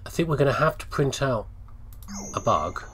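A man commentates casually on a video game, thinking aloud in a rambling way. His pitch swings up and down in an animated fashion. A faint layer of game ambience noise sits behind his voice. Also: moderate pace. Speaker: gamer commentating on playthrough.

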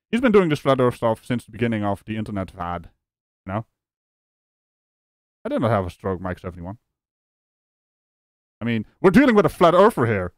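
Male host from the Netherlands, mocking and lively, on a dry close mic. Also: lowish voice, no echo. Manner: animated, mocking, laughs often. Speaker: streamer host. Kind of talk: live reaction commentary.

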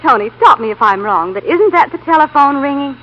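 An actress playing a lively woman in a 1930s radio comedy, on a muffled old broadcast. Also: high-pitched, brisk. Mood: teasing.

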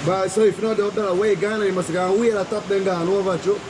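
A playful man working as a cave tour guide, teasing guests in repetitive, joking patter. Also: flat delivery. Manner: playful, teasing, repeats himself, often addresses people as Mama. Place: inside a dark river cave on the water. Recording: noisy echoing cave, rushing water rumble.

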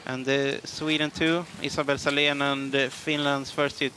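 A man's voice: medium pitch, level tone, normal speed, background noise. A man commentating live on a ski race in English, hesitant and full of fillers. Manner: hesitant, many fillers, non-native English.

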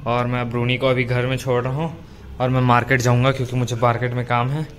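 A man's voice: mid-range voice, monotone, quick pace. A teenage boy vlogging outdoors on a phone held at arm's length, chatting casually to his viewers as he walks around. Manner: chatty, addressing viewers as guys. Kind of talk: casual vlog narration. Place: outdoors, streets and a stadium. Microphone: phone camera at arm's length. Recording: noisy outdoor phone recording.

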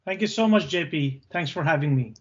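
Higher-pitched Bangladeshi man, slightly dull recording. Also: animated, brisk. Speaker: podcast guest, tech co-founder. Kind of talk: interview answers, recounting career.

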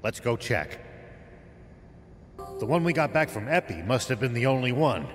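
An actor playing a stern older military veteran in a video game, speaking with grave, commanding urgency. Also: male voice, medium pitch, sing-song, moderate pace, big-room sound, music in the background, natural voice. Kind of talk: dramatic video game voice acting.

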